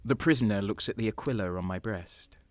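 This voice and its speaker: A man's voice: fairly low pitch, brisk, no echo, close to an everyday voice. A man playing a bitter, hardened traitor soldier, narrating an audio drama in the first person with a grim, brooding manner. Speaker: narrator and protagonist.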